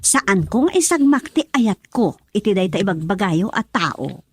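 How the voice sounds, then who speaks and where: A woman's voice: mid-range voice, big pitch swings, measured pace, breathy edge. A woman acting a lovestruck grown daughter standing up to her mother in an Ilocano radio drama. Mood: earnest, pleading.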